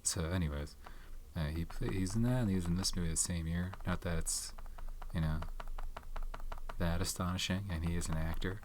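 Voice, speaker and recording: A man speaking softly in an ASMR movie chat, rambling and pausing to recall names. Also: low voice, a little hiss or hum. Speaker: ASMR host reviewing movies. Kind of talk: soft-spoken ASMR chat.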